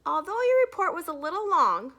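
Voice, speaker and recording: A woman's voice: extremely high pitch, very animated, fairly quick, bright, forceful tone, pitched above the performer's own voice, heavily acted. A woman voicing a stern, authoritative female schoolteacher in a storybook, lecturing with emphasis. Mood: pointed praise.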